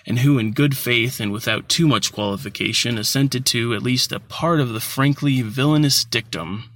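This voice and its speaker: A male narrator reads classic short fiction. His voice is bright and forward at a middle pitch, and his delivery is formal and measured, with the odd small stumble. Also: no echo. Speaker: audiobook narrator. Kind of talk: scripted literary short story narration.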